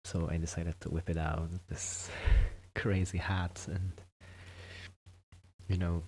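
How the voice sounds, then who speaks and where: A man doing a soft-spoken, casual ASMR roleplay, chatting gently and hesitantly to the listener, at times dropping into a whisper. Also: low-pitched, level tone, slow. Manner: hesitant, rambling, gentle, often drifting into whispers. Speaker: ASMR performer playing a friendly host.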